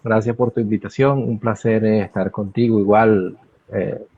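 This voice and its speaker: A Chilean man with a low, husky voice answers calmly, pausing and repeating himself. His video-call audio is thin and dry, as if heard through a phone. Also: no echo, highs cut off. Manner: relaxed, frequent hesitations and repeated words. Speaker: football coach. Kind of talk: interview answers.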